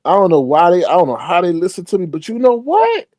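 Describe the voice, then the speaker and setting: A man's voice: fast talker, no echo. Stuffy-nosed male host, slangy gossip commentary, close dry mic. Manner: animated, laughing, loud pitch jumps on reactions; slangy, says "child" often. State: congested. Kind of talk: casual gossip commentary stream.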